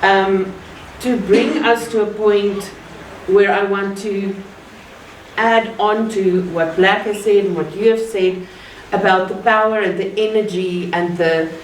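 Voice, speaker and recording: A woman from South Africa with an Afrikaans accent, giving an earnest, measured public talk with deliberate pauses. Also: medium pitch. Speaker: guest speaker. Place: art gallery. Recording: roomy, distant mic, faint ambient noise.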